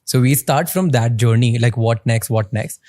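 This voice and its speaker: A man's voice: medium pitch, moderate pace, a little mellow. A man hosting a podcast, asking curious prompting questions. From India. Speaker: podcast host. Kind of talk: podcast interview.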